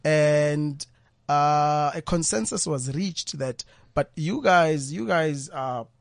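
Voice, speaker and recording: A man's voice: a little high, takes it slowly, gravelly, no echo, clean studio sound. A South African man chatting as a guest poet in a radio interview, speaking thoughtfully and often repeating his words. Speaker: guest poet and former journalist. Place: radio studio.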